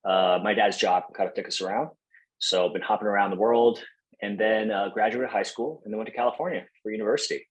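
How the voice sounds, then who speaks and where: A man's voice: lowish voice, fast talker, poor audio. A young man from Taiwan, a podcast guest, chatting casually and in a friendly way, with lots of fillers and restarts. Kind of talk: casual interview chat.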